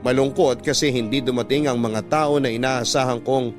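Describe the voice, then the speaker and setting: A Filipino man narrates a personal letter in a scripted radio drama style. His voice is mid-pitched, with music clearly playing behind him. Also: fairly even intonation, normal speed. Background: background music.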